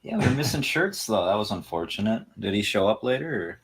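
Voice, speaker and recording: A man with a husky voice chatting casually as a guest on a show. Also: fairly low pitch, very animated, brisk. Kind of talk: casual chat.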